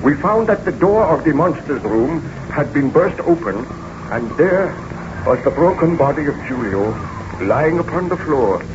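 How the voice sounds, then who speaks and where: Haunted aristocrat scientist, stagey 1930s radio acting, dull noisy old recording. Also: male voice, fairly high pitch, big pitch swings, a little slow. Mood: somber.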